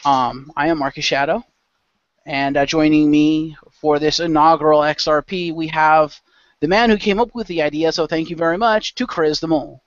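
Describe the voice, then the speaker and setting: Higher-pitched man hosting, dry lo-fi sound. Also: fairly even intonation, moderate pace, no echo. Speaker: host and game master. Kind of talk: casual chat and narration introducing a role-play show. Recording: dull lo-fi close microphone.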